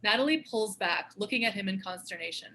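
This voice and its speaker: A woman's voice: mid-range voice, expressive, a little fast, bright, forceful tone, slight background noise, rough recording. A woman narrating the stage directions of a screenplay in a scripted read.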